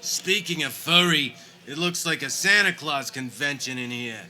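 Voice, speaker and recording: A man's voice: a little high, normal speed, bright, forceful tone. A man playing a grumpy, complaining, old-fashioned husband in a comedic radio play, grumbling as he talks. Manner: grouchy, grumbling.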